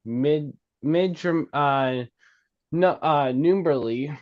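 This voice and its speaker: Slow, halting man reading script directions. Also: fairly high pitch. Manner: halting, stumbles over words and rereads. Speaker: stage-direction reader. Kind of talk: reading screenplay directions at a table read. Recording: slightly dull, lo-fi sound.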